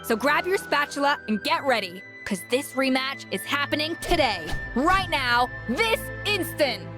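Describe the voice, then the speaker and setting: A woman's voice: high voice, animated, a little slow, over music. A woman acting a proud, demanding former head chef in a video game, blunt and emphatic like a tough mentor. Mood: demanding, challenging. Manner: forceful, scolding, emphatic. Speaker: voice actor.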